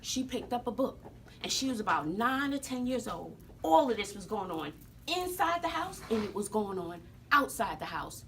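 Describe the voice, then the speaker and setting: A woman's voice: a little high, very animated, normal speed, a bit bright, a little hiss or hum. An American woman playing a sassy, folksy, wrinkled old woman who tells stories to students in an emphatic, theatrical way, repeating herself for drama. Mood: solemn, dramatic. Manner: emphatic, dramatic, repeats phrases, addresses listeners as sugar. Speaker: teacher telling her life story to students. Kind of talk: theatrical classroom storytelling. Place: classroom with students present.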